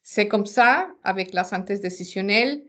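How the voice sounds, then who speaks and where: A woman's voice: mid-range voice, lively intonation, normal speed, fairly bright tone. A non-native French-speaking woman with a foreign accent presenting a webinar informally, searching for words and often repeating them. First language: not French.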